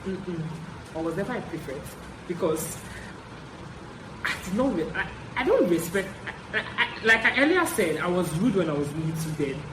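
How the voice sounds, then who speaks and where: A young adult man with a Nigerian accent tells stories casually, in an animated way, repeating phrases for emphasis as a vlogger. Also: sits high, normal speed. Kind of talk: casual story-time chat. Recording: roomy echo, clear background noise.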